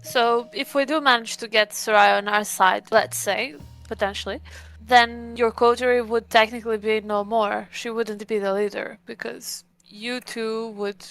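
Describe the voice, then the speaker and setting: A woman playing a sceptical vampire in tabletop roleplay, questioning in a deliberate, conversational way. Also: a little high, fairly even intonation, creaky, fairly bright tone, soft background music. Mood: sceptical, probing.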